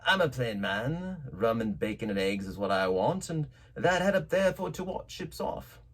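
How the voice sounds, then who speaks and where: A man voicing a gruff, commanding old pirate sea captain in a storybook reading, with rough, coarse sailor talk. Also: mid-range voice, very animated, moderate pace, fairly bright tone. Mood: gruff, bossy. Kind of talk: storybook character voice in audiobook narration.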